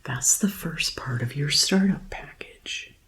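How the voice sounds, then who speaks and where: Very low, slow, soft-spoken ASMR woman. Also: animated. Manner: slow, gentle, deliberate customer-service delivery. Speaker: ASMR performer playing a vampire conversion receptionist.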